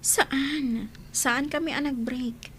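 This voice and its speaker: Young ilocano girl in love, high voice, shy and anxious, hesitant, radio drama. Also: steady tone, takes it slowly, a little hiss or hum. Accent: Ilocano Filipino. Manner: coy, hesitant, emotive.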